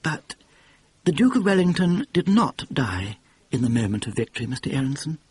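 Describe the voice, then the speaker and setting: A man's voice: mid-range voice, very animated, moderate pace, a little mellow, slightly rough. A man reading aloud, voicing a tall, refined young man who corrects his boss with prim deference. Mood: deferential.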